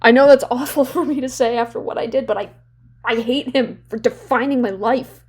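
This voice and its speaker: An actress playing a young woman in therapy in an audio drama, bitter and regretful, confiding in a halting, sardonic, conversational way. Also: a little high, steady tone, a little mellow, breathy edge. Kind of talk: naturalistic audio drama monologue.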